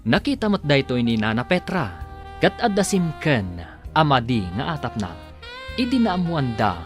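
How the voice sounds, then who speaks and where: A man narrating a radio drama as its announcer, with theatrical, emphatic phrasing. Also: medium pitch.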